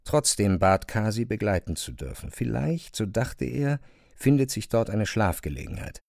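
A man's voice: on the low side, big pitch swings, moderate pace, vocal fry, a little mellow, dry recording, studio quality. A man reading scripted literary narration as the narrator of a drama.

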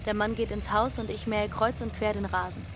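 A woman's voice: medium pitch, steady tone, brisk, background noise, close to an everyday voice. A woman playing a young runaway girl who narrates in a plain, observant way in a radio drama. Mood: matter-of-fact. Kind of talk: scripted first-person narration.

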